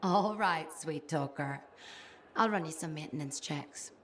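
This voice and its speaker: A confident woman mechanic in a game scene. Her voice sits a little low, with a teasing, sardonic edge that stays fond. There is noise behind her. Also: unhurried. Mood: wry teasing. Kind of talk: video game cutscene acting.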